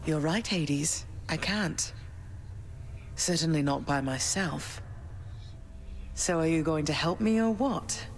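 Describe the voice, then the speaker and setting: A regal goddess queen, speaks slowly in a lowish female voice. She is poised and firm, in dramatic game style, with music clearly behind her. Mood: calm, resolute. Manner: measured, poised, formal diction. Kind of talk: dramatic video game voice acting.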